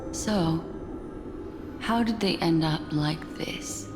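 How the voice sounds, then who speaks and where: A mysterious, curious seer who speaks in slow, measured phrases with a slightly low voice. Her lines ring with long reverb over game music. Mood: intrigued. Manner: slow, measured, intrigued musing.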